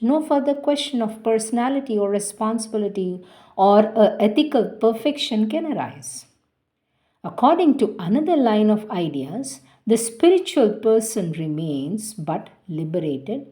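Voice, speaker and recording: A woman reading a spiritual philosophy text aloud in a measured, careful, devotional way. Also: medium pitch, mellow. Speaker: reader of spiritual texts.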